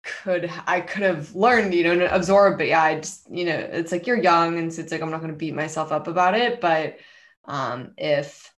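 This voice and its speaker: A woman co-hosting a podcast, speaking reflectively with many fillers and pauses. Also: low-pitched, fairly even intonation. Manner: reflective, warm, hesitant, frequent fillers. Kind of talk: casual conversational chat.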